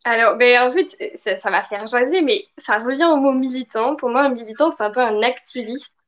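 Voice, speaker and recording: A woman's voice: fairly high pitch, moderate pace, a bit bright. A woman speaking as a guest in a casual live interview, joining remotely. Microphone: remote video call. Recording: slightly dull remote call sound.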